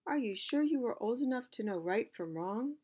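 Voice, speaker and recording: A woman voicing a gentle, cautious mother pot in a storybook. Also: on the high side, animated, normal speed, voice pushed up. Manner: soft, cautious.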